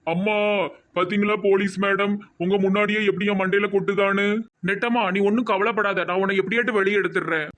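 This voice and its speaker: A man voicing excitable, fussy village men in a comic cartoon, emphatic, with a Tirunelveli Tamil accent. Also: high voice, quick pace. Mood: anxious, pleading. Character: excitable village men: indignant doctor, devoted newlywed husband.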